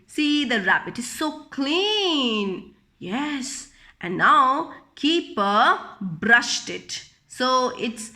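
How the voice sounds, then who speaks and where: A woman telling a picture-book story to young children in an expressive, teacherly way, repeating phrases and asking simple questions. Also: on the high side.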